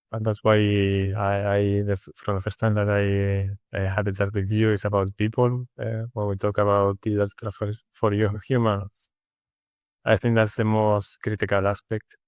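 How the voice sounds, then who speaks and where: A man from Spain with a Spanish accent, answering interview questions in a halting way with many fillers and restarts. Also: fairly low pitch, darkish tone, clean tone, dry recording. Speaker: interview guest. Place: studio. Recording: dry studio sound.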